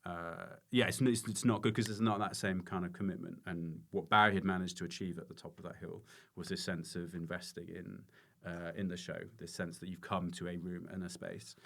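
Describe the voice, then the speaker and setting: A man's voice: sits low, little pitch movement, brisk, gravelly, few pauses, fairly bright tone. A man, a stand-up comedian, chatting casually and reflectively as a podcast guest.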